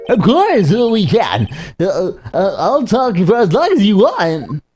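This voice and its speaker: A lively, mellow-voiced male clown witness from a courtroom game, played by a woman, rambles eagerly. The pitch bounces around freely, and music plays underneath. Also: unhurried. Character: chatty, eager male circus clown witness fond of bad jokes. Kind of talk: amateur voicing of a courtroom video game.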